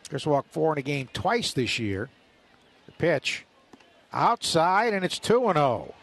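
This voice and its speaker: A man's voice: fairly high pitch, sing-song, a little slow, a bit bright. A man working as a radio announcer, calling live baseball play-by-play.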